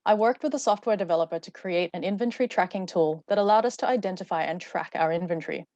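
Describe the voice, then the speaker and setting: Brisk woman giving a rehearsed talk. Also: fairly low pitch, a little mellow, no room sound, lo-fi sound. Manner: fluent, rehearsed. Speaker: webinar speaker. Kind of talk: prepared talk.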